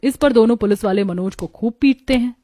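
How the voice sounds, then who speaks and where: A woman's voice: a little high, fairly quick, mellow. A woman narrating a scripted cartoon story as its storyteller.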